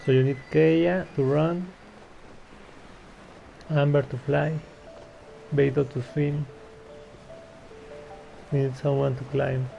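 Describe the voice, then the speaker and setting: A man streams a game with slow, casual commentary. His voice is creaky and dark, caught on a dull lo-fi mic with faint music behind. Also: mid-range voice, little pitch movement. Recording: dull lo-fi microphone.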